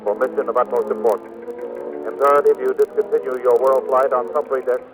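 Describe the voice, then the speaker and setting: A man's voice: medium pitch, steady tone, brisk, lightly acted, low-quality recording. Male bulletin reader for old radio drama, clipped, emphatic, official, music behind acted. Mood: urgent, official. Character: urgent radio announcer reading dispatches and bulletins. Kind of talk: 1940s radio bulletin delivery. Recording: vintage 1940s radio recording.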